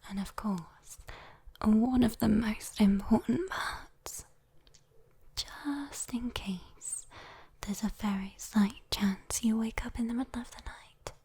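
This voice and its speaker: A British woman voicing a sweet, teasing, doting demon girl in a soothing ASMR bedtime roleplay, whispering gently with coy endearments. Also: on the high side, slow, slightly rough, full character voice. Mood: tender, reassuring. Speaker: ASMR voice performer.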